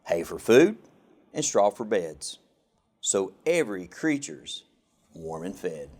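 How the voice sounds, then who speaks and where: Slow, lively low-voiced man reading a storybook. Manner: expressive, sing-song rhyming delivery. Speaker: government official reading a children's picture book. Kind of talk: storybook read-aloud.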